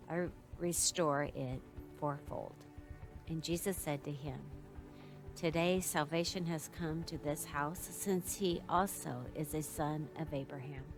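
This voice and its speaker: A woman reading a Bible passage aloud in a steady, measured way during a church service. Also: low-pitched. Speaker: scripture reader. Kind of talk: scripture reading.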